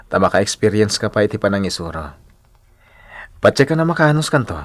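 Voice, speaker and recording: Animated Filipino radio drama schoolteacher. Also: male voice, on the low side, fast talker, dry recording, studio quality. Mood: friendly. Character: Nestor, friendly helpful male schoolteacher courting a colleague. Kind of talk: radio drama acting in Ilocano.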